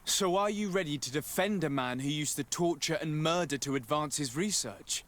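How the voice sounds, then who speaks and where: An actor playing a composed, formal noble envoy who asks polite, pointed questions in a fantasy game. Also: male voice, on the high side, moderate pace, fairly bright tone, close to an everyday voice. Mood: probing. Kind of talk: scripted fantasy game acting.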